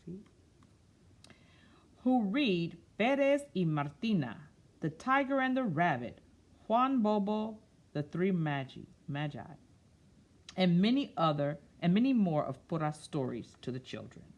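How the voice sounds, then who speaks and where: A woman reads a children's story aloud in a lowish, husky voice. Her pitch swings widely as she stretches out phrases, then she slips into casual, simple explanations for young listeners. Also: muffled recording. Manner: expressive, drawn-out reading alternating with casual explaining. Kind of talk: read-aloud of a picture book for children, with simple explanations in asides.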